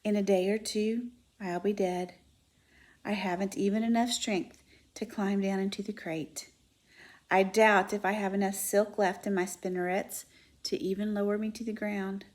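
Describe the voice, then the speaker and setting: A woman voicing a dying female spider who speaks low, quiet and calm. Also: normal speed, lightly acted. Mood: weary.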